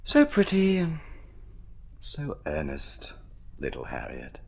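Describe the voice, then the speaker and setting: An actor playing a flippant, good-natured young wastrel with a breezy, bantering manner, in old radio drama style. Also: male voice, extremely low pitch, huge pitch swings, slow, creaky, lo-fi sound, faint music behind, heavily acted. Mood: teasing. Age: young adult. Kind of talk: vintage Australian radio drama acting.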